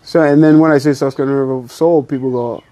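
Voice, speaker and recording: A man from Nigeria, a musician, chatting in a relaxed, hesitant way outdoors, often repeating words and saying "you know.". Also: mid-range voice, quick pace, rough recording. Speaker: musician guest. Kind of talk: casual interview chat.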